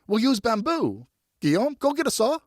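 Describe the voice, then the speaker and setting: A man voicing a bossy, excitable older boy in an audiobook, cocky and commanding, full of exclamations. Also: very high voice, normal speed, no echo, clean studio sound, pitched above the performer's own voice, exaggerated voice. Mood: scheming, excited. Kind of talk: audiobook character voice.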